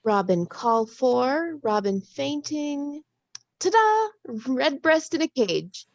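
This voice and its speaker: A woman's voice: sits high, sing-song, takes it slowly, no echo, full character voice. A woman playing a breezy young bookstore clerk in a table read, with an offhand, quirky manner. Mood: absorbed, chirpy. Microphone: video call. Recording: dull, dry video-call audio.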